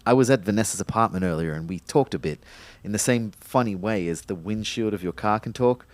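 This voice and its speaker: A man's voice: on the low side, a little fast, no room sound, lightly acted. A man playing an indignant, suspicious young man in an audio drama, insistent and questioning.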